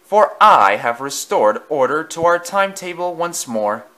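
A man's voice: on the high side, expressive, unhurried, sharp tone, pitched above the performer's own voice. A man voicing a pompous, boastful big male steam engine who talks in a grand, haughty way.

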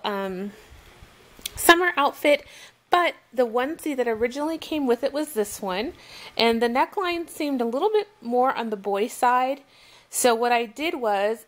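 A woman casually showing and chatting about outfits she laid out, gushing and pausing often with ums, talking at home. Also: on the high side. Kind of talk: casual show-and-tell.

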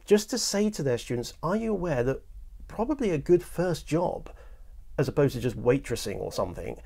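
A man's voice: on the high side, very animated, a little fast, vocal fry, mellow, slightly rough. A man in his thirties with a lapel mic, hosting an enthusiastic, casual studio chat. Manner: enthusiastic, acts out quoted people. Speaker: host, audiobook producer. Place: small studio room with sofa, indoors.

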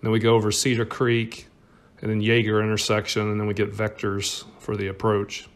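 A man's voice: fairly low pitch, monotone, moderate pace. A man narrating his own flight as its pilot, explaining each step in a plain voice-over.

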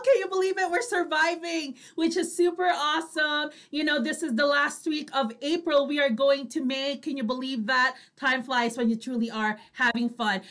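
A woman with a very high-pitched voice hosts a casual online show. Her tone is bright and forceful, and she talks in a bubbly, effusive way, slipping in little Tagalog tags. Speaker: online radio talk show host. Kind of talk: lively casual co-host chat. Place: indoors, on a video call.

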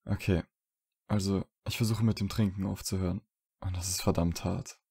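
Male actor as troubled, wry young wizard for audio drama. Very low, even delivery, dry close sound. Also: normal speed, vocal fry, breathy edge, dry recording, heavily acted. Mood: strained, earnest. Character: troubled young wizard, dry and teasing, trying to quit drinking. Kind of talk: fan fiction audio drama dialogue.

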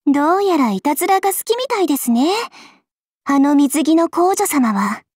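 A woman's voice: high voice, big pitch swings, moderate pace, a little mellow, no echo, clean studio sound. A woman voicing a flustered, cheeky little girl demon in an anime game, polite but defensive and teasing. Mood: cheeky, challenging. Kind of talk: Japanese anime game character lines.

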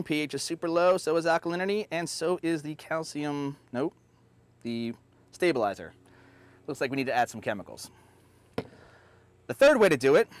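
A man in his thirties hosting an unscripted how-to, talking step by step in an upbeat, plain way while working in a backyard. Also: fairly high pitch. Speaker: host. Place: backyard outdoors beside a hot tub.

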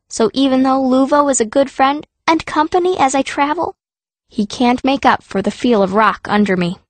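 Female audiobook narrator, higher register, fierce young heroine telling her story, very dry sound. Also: no room sound. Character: fierce, stubborn girl stone mage telling her story. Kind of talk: first-person scripted narration.

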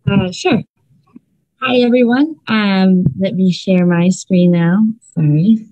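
A woman's voice: mid-range voice, slow, mellow, dry recording, lo-fi sound. A woman from Ecuador, a clinical psychologist, presenting casually in an online seminar with many filler sounds. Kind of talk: academic online seminar talk. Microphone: video call.

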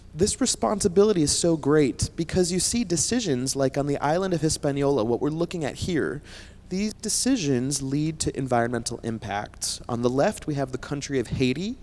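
A man's voice: mid-range voice, a little mellow. A man in his thirties giving an emphatic motivational talk to students on stage into a handheld microphone, often checking in with the audience. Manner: emphatic, addresses audience with frequent "right?" tags. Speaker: scientist and company founder giving a keynote talk. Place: stage at an indoor conference.

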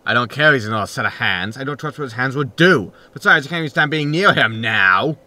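A man's voice: medium pitch, lively intonation, brisk. A man playing a grumpy, impulsive spaceship captain in a sci-fi sitcom audio drama, blunt and exasperated. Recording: loud background noise.

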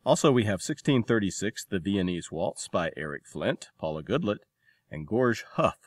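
Low-voiced man hosting a podcast, very dry sound. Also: animated, moderate pace, dry recording. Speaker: podcast host. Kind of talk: scripted podcast narration and interview introduction.

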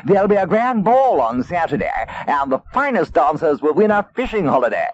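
A man voicing a courtly storybook fox who announces a ball in a grand, formal, drawn-out manner. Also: high-pitched, very animated, pitched above the performer's own voice. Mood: grand announcing. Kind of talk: storybook character reading. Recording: dull tape transfer, faint noise.

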